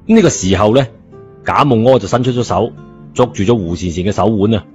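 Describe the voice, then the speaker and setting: A man's voice: fairly low pitch, a little fast. A man narrating a story in a colloquial scripted style, in a dull recording. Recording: dull lo-fi audio, music behind.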